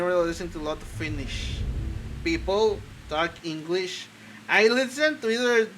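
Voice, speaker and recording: A man's voice: high-pitched, pushed and bright, slight background noise, rough recording. A man streaming a video game, chatting casually in a rambling, profane way with frequent tangents. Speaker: streamer, VTuber. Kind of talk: casual gaming commentary.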